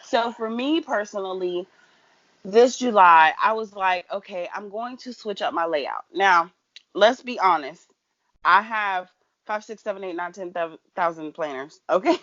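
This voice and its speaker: A woman's voice: medium pitch, measured pace, a bit bright, no echo, dull audio. A woman co-hosting a casual podcast, chatting playfully with sassy asides like "honey" and "girl.". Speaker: podcast co-host. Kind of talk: casual chat.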